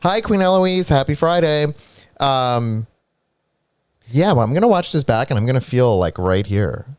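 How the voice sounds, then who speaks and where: A man's voice: mid-range voice, moderate pace, clean tone, dry recording. A man co-hosting a live stream, chatting casually and greeting viewers, with a congested, stuffy-nosed voice. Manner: chatty, reads viewer comments aloud, animated asides. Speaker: streamer co-host.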